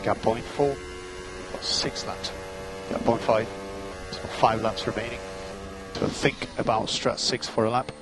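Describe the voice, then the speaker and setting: A male race engineer speaks over a team radio with clear engine and track noise behind him. His voice sits at an ordinary pitch. He delivers short, clipped readouts and instructions in a terse radio style. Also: unhurried, long pauses. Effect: team radio transmission.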